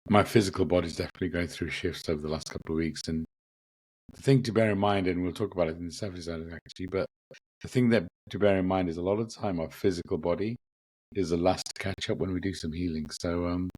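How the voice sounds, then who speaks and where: A man hosting a spiritual podcast, gently reflective, his voice a bit croaky from a lingering cough. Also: deep voice, fast talker, no echo. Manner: gentle, reflective, with frequent ums and repeats. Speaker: podcast host. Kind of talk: casual spiritual podcast talk.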